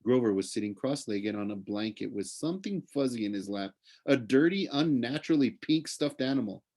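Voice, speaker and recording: A man narrating a children's novel aloud like a teacher reading to students, in an expressive storytelling way. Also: medium pitch, a little fast.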